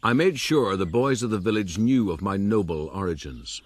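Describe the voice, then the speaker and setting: An actor playing a pompous, wry Irish gentleman rogue narrating his life in a radio drama. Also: on the low side, expressive, normal speed, no echo. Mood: self-satisfied.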